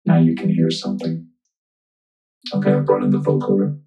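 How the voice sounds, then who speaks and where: A man's voice comes through a synthesizer vocoder, robotic and dark in tone. He explains informally as he demonstrates, with faint musical tones in the sound. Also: sits low, monotone, brisk, dull audio, soft background music. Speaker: tutorial presenter.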